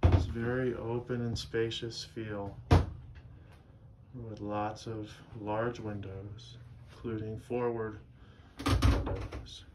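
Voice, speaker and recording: A man giving a slow, steady walkthrough of a sailboat, listing its features as he films on a handheld camera aboard at the dock. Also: lowish voice, noisy background. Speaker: boat broker giving a walkthrough. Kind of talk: narrated walkthrough tour.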